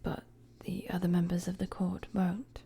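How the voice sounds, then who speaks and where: A woman playing a weary, guarded young queen in a dramatic audio roleplay, with a soft, breathy voice and measured, formal, hesitant phrasing. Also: fairly low pitch, level tone. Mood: worried, resolute. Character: weary young queen, regal, guarded, firm with her friend.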